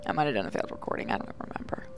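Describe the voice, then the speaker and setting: A woman's voice: rapid-fire, a little hiss or hum. A woman hosting a gaming channel, reading a visual novel aloud with playful, sarcastic asides.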